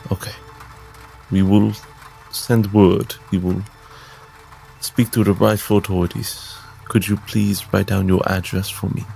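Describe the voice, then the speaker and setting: A man playing a solemn, gentle priestly healer in a tabletop game, speaking in measured, formal, caring phrases. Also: low-pitched, level tone, vocal fry, faint music behind, full character voice. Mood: gently firm.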